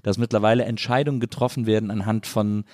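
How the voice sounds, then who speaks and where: A man's voice: on the low side, fairly even intonation, fast, a little mellow, no echo. Informal male podcast host, dry close sound. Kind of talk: casual conversational interview.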